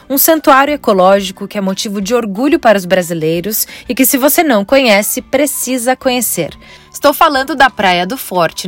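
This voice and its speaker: Woman, podcast host, fairly high voice, clear scripted read. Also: no echo. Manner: clear, scripted, inviting delivery.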